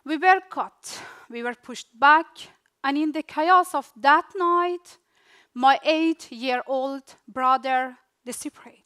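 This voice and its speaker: A young Afghan woman with a Dari-speaker's accent gives a rehearsed talk about her own life. Her voice sits high, and she lets each short phrase land in its own slow, unhurried beat. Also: bright, forceful tone. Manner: slow, deliberate delivery in short dramatic phrases with pauses. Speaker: refugee speaker. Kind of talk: rehearsed personal storytelling speech.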